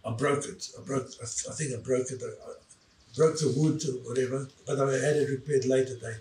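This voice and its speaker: Elderly man in his eighties from South Africa, a halting storyteller heard in a roomy space with faint background noise. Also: mid-range voice, fairly even intonation, darkish tone, distant mic. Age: 80s. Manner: halting, with many fillers and restarts. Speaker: interview guest. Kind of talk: storytelling in casual chat.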